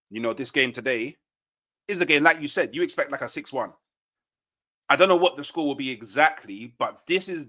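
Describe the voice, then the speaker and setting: A man's voice: medium pitch, pushed and bright. A man in casual, slangy football banter as a fan panellist, often tagging his points with "innit". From England.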